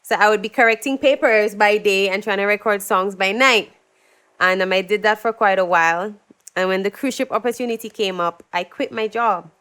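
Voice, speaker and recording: Smooth-voiced woman telling stories. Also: medium pitch, fairly quick. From Dominica. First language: English. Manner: animated, acts out quoted voices. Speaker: singer-songwriter guest. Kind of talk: casual interview storytelling.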